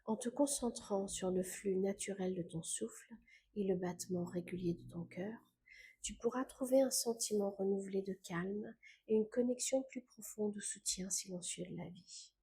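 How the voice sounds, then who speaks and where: A woman guiding a scripted meditation in a calm, gentle, unhurried way, with soft phrase endings that fade into breath. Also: mid-range voice, breathy edge. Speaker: meditation guide.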